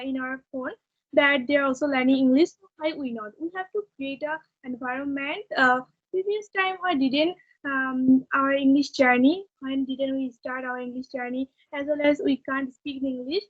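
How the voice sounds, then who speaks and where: A girl of about twelve, speaking earnest, formal, rehearsed learner English. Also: high-pitched. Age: child, about twelve. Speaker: young English learner with a video channel. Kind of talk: earnest conversation practice. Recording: dull, narrow phone-like call.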